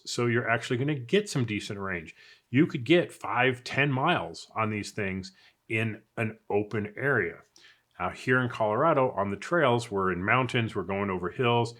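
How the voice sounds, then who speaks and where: A man's voice: fairly low pitch, a bit bright. An American man speaking conversationally, giving casual advice and opinions as a product reviewer talking to viewers. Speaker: product reviewer and off-road video host. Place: indoors in a house.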